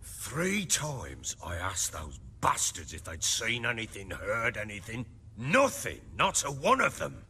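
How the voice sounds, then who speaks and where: An actor playing a burly, middle-aged, hard-drinking baron in a fantasy video game, with a rough voice and a blustering, defensive manner. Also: male voice, fairly low pitch, wildly swooping pitch, unhurried, pushed and bright, heavily acted. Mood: defensive, evasive. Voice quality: rough, husky.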